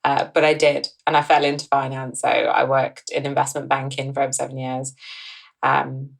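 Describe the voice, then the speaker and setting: A woman's voice: very deep voice, monotone, normal speed, gravelly. A woman in her thirties from northern England, a company co-founder, talking in a chatty way on a podcast. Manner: chatty, with frequent ums and restarts. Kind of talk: podcast interview.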